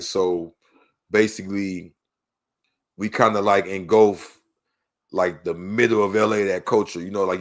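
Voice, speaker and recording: A man from Los Angeles with a local accent, talking in a casual, slangy way as a podcast guest telling his story. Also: low voice. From Los Angeles, United States. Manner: slangy, peppered with "you know what I mean".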